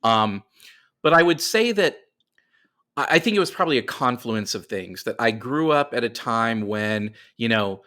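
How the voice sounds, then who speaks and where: A man's voice: mid-range voice, lively intonation, moderate pace, pushed and bright. A middle-aged man telling stories casually as a guest in a podcast interview.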